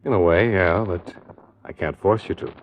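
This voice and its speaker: An American actor playing a cool, hardboiled insurance investigator in a 1950s radio drama, clipped and matter-of-fact. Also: male voice, deep voice, moderate pace, lightly acted. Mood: calm, probing. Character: cool, hardboiled male insurance investigator, narrating his cases. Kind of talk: 1950s radio detective drama acting and narration. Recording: dull old radio recording, music underneath.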